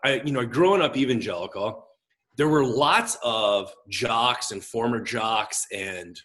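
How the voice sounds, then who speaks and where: A man's voice: mid-range voice, measured pace. Gregarious middle-aged male pastor. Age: 40s. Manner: gregarious and expressive, big voice. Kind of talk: casual conversational interview.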